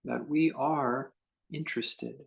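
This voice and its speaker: A man leading a guided meditation as a teacher, speaking in a contemplative, unhurried way with long pauses between short phrases. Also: mid-range voice, steady tone, vocal fry.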